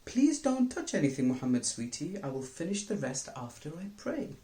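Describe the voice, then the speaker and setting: A man voicing a busy, caring mother in a children's storybook, gentle but firm. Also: a little high, very animated, normal speed. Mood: brisk, firm. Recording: roomy, echoing sound.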